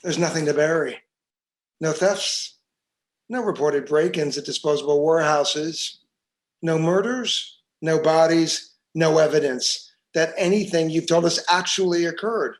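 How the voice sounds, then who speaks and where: A man's voice: on the high side, a little slow, close to an everyday voice. A man playing a hard, scornful police detective in a drama table read, accusatory and sarcastic.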